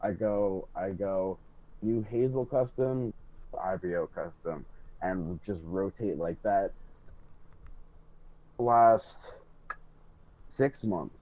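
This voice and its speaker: Man, lowish voice, casual rambling podcast chat, repeats himself, muffled close recording. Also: slow, pauses often. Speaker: podcast panelist. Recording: dull, close, dry lo-fi voice track.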